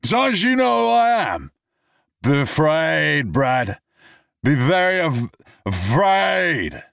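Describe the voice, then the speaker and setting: A man voicing a belligerent drunk man who slurs, shouts and taunts. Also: a little high, very animated, slow, creaky, no room sound, studio quality, pitched above the performer's own voice, heavily acted. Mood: taunting. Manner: slurred, loud, cocky taunting.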